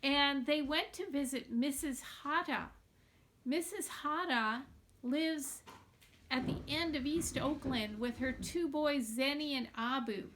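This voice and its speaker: A woman reading a children's novel aloud in a deliberate, expressive storytelling manner, like a teacher with a class book. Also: high voice, a little hiss or hum.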